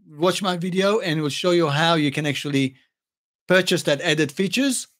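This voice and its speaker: Man, accented English, fairly high voice, casual stream host, halting with lots of ums. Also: fairly bright tone. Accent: non-native English accent. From lives in Australia, not born there. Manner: rambling, many ums and restarts. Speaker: music channel host answering chat questions. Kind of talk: casual livestream Q&A.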